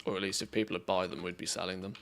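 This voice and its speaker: A man with an English accent giving relaxed, chatty commentary on a live game stream, reacting to play and greeting viewers. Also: low-pitched, rapid-fire, gravelly. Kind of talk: casual live-stream commentary while gaming.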